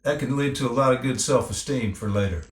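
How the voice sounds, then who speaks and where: A man's voice: mid-range voice, a little fast. A man speaking as a musician guest in a casual interview chat. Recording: roomy echo, faint crowd behind.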